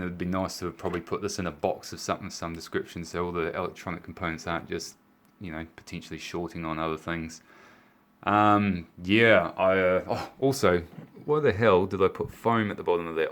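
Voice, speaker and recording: A man's voice: sits low, steady tone, normal speed, fairly bright tone. A man. He demonstrates a homemade project casually and off the cuff. His delivery is hesitant and self-deprecating. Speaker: hobbyist video maker. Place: indoors at a home workbench.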